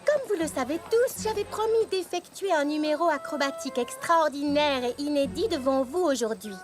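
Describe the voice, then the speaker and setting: A woman's voice: high-pitched, animated, normal speed. A woman voicing a spoiled, boastful rich young girl pony, smug and showy, in a French cartoon dub. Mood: showy announcing. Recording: loud music behind the voice.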